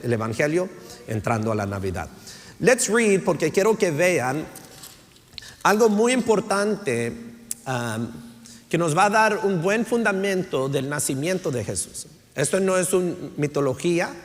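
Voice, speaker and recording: A man, preaching a sermon as pastor, mixing in English words and asking "right?" to check in with his listeners. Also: mid-range voice. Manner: switches between Spanish and English, says "right?" often; preaching with rising emphasis, tells stories. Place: church sanctuary. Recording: church hall reverb.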